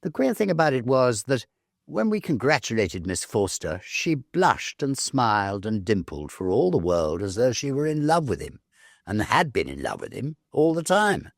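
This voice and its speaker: A man reading a Victorian story aloud, measured and expressive, with dramatic pauses. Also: mid-range voice, gravelly, no room sound, clean studio sound. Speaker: story reader, narrator. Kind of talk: dramatic literary narration of a Victorian ghost story.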